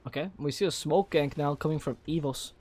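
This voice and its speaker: A man calls an esports match play by play in a fairly high register. His voice is naturally low, but he keeps it lifted for the cast. He gets excitable and breaks into high shouts when the big plays land. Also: a little fast. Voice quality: naturally low voice kept higher for casting. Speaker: esports caster.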